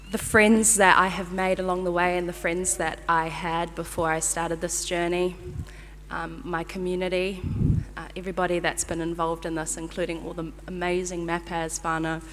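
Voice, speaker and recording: A woman's voice: on the low side, little pitch movement, moderate pace, fairly bright tone, slight background noise. Nervous young woman speaking in a hall. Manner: nervous, laughs often, many ums. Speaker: medical graduate giving a speech. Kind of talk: ceremony acceptance speech. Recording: long hall reverb.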